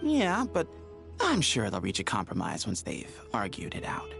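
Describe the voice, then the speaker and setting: A man's voice: lowish voice, wildly swooping pitch, moderate pace, creaky, music in the background, full character voice. A man playing an earnest, articulate young scholar who explains things in a video game. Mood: reassuring.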